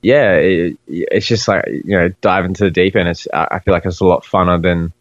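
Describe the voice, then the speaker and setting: Casting: Australian male musician, twenties, deep voice, casual interview chat, dry but slightly dull recording. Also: moderate pace, no room sound. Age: 20s. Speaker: podcast guest, musician.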